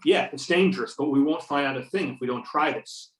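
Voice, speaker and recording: A man's voice: a little high, fairly quick, fairly bright tone, natural voice. A man playing a determined investigator in readers theater, matter-of-fact and commanding, over a remote call. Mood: firm, serious. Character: Beckett, determined male investigator giving orders. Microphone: remote videoconference or phone call. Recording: roomy, distant mic on conference call.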